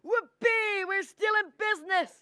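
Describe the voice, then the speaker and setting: A folksy, excitable older man acted by a student in a radio play. The voice is high and bright, loyal and exclamatory in manner. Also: unhurried, no echo, exaggerated voice. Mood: excited. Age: young adult. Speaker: student actor. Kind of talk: radio drama acting.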